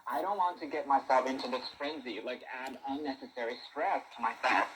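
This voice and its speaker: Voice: medium pitch, a little fast, background noise. A person chatting casually and ramblingly on a shortwave radio broadcast, heard through a small speaker.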